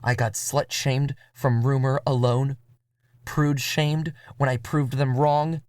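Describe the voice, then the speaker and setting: A man voicing a bitter, devoted woman vampire in a measured, confessional audio drama monologue. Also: mid-range voice, level tone, a little mellow, no room sound, clean studio sound, lightly acted. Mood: bitter, brooding. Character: bitter, devoted Middle Eastern American woman vampire, quietly menacing. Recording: music behind the voice.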